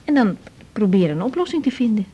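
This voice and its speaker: An actress playing a young Dutch doctor, gentle, attentive and a little tentative, in a radio drama. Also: female voice, medium pitch, sing-song, quick pace, breathy edge, highs cut off. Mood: attentive, reassuring.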